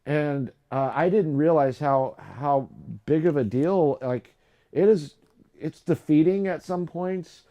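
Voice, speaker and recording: A young adult man hosting a livestream, chatting casually and rambling with lots of fillers and restarts, his voice low today. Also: slow pace, dark tone. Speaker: live stream host.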